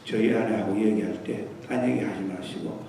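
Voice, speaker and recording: A man's voice: lowish voice, steady tone, normal speed, vocal fry, mellow, slight background noise. A middle-aged man, a visiting pastor, telling anecdotes in a sermon and mixing in English terms. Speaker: guest revival pastor. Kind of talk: storytelling sermon. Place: church sanctuary. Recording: echoey church hall from far off.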